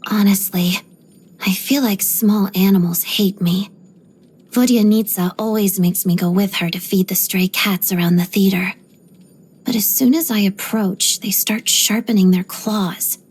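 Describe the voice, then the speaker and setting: A young woman ballerina from a video game, with a soft, breathy voice that often thins toward a whisper. She speaks in a composed, measured way, polite but guarded. Beneath the discipline sits a proud, quietly haunted temper. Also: medium pitch, steady tone. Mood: guarded, reflective. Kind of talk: video game character voice lines.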